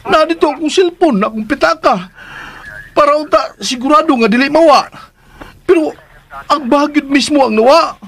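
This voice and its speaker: A man's voice: very high voice, moderate pace, creaky, fairly bright tone, heavily acted. An actor playing a naive, anxious young provincial man in a radio drama, with a Visayan Philippine accent and a plaintive, pleading manner. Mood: desperate, protesting. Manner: plaintive, pleading, talks to himself. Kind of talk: radio drama acting in Cebuano. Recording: radio drama with background noise.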